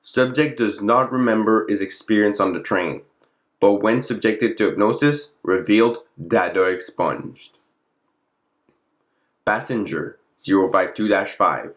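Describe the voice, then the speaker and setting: A man's voice: on the low side, a little slow. A man narrating a fictional classified document in a formal, clinical log-reading manner. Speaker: narrator and presenter of the channel.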